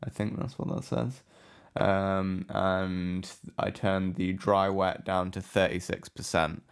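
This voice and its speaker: A man giving a casual software tutorial in a hesitant, offhand, unscripted way. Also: low-pitched, flat delivery, slow pace, gravelly, clean tone. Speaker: music production tutorial host. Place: indoor room with a window.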